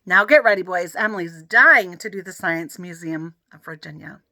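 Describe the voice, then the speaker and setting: A woman's voice: lowish voice, animated, normal speed, sharp tone, no echo, exaggerated voice. A woman voicing an earnest, preachy father in a children's story, firm and instructive. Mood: upbeat, preachy. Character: earnest, preachy father who pushes learning.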